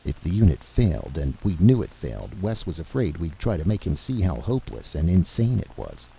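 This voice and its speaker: A man's voice: low-pitched, very animated, a little fast, creaky, dull audio, a little hiss or hum, heavily acted. A man voicing a weary, earnest and sceptical adventurer in a story reading. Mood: earnest, resigned. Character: weary, sober, doubting male adventurer.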